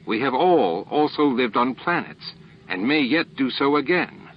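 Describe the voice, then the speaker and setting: A stern space captain, played by a man in a sci-fi audiobook, grave and indignant while holding his temper. The voice is mid-pitched with animated intonation, on a slightly dull recording. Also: a little slow. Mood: firm, controlled. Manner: forceful, controlled, grave.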